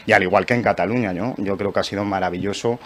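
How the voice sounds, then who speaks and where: A man's voice: lowish voice, fairly even intonation, quick pace. A young man with an accent from Spain giving his opinion as a panelist, earnest and articulate, in long sentences. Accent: Spanish from Spain. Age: young adult. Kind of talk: opinion discussion.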